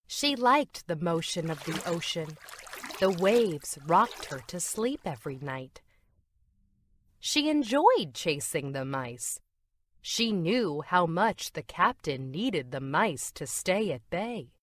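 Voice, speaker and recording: A woman's voice: fairly low pitch, no room sound. A woman narrating a children's storybook as a scripted read-aloud.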